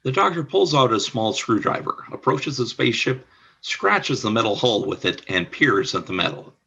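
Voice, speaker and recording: Guy reading stage directions, low gravelly raspy voice, bright tone. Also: expressive, moderate pace, dull audio. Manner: plain, matter-of-fact narration. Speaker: narrator.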